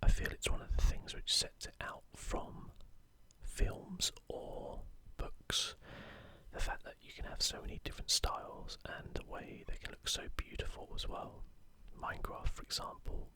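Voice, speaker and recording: An ASMR host speaking entirely in an unhurried, gentle whisper, presenting to viewers. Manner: whispering throughout. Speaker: video host.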